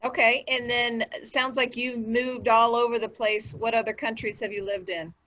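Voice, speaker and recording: A woman's voice: fairly high pitch, level tone, normal speed, pushed and bright. A woman interviewing a coach, asking questions in an upbeat, encouraging way. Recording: dry, dull lo-fi sound.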